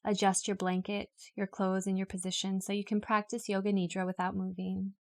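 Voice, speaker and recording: A woman guides a relaxation practice from a script. Her medium-pitched voice stays very even, with little melody. She gives each instruction calmly and takes her time. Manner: calm, unhurried, instructional. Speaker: yoga nidra meditation guide. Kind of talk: guided meditation, read from a script.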